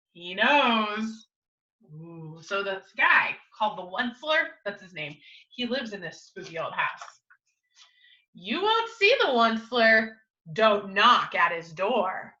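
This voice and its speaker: Animated, bright-voiced woman reading to children. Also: a little high, muffled recording. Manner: expressive, dramatic emphasis, coaxing questions to children. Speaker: teacher reading a picture book to young children. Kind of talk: animated children's storytime read-aloud with asides and questions.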